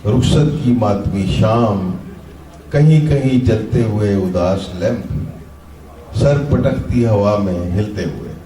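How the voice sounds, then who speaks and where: A man reciting his own free-verse poems live, in measured, deliberate phrases with pauses and repeated lines, in a roomy recording from off the mic. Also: fairly low pitch, a crowd in the background. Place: literary festival session, recorded live. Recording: roomy, distant-miked live event recording.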